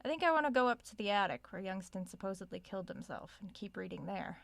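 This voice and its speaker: An actress playing a curious, self-aware researcher in an audio drama, recording a spoken log in a conversational, measured way. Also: female voice, medium pitch, animated, gravelly, no echo. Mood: musing, casual. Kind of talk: audio drama log, reading aloud and musing.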